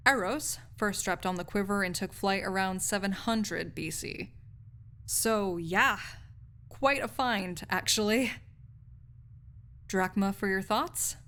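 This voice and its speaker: A snarky, nerdy female research assistant, played by a woman in an intimate audio roleplay. She has a medium-pitched voice and explains things articulately, with a cynical edge and casual, wry asides. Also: a bit bright, close to an everyday voice.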